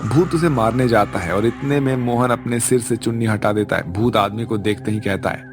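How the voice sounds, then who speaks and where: A man's voice: mid-range voice, normal speed. A man narrating a scripted cartoon story as the storyteller. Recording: music under the voice.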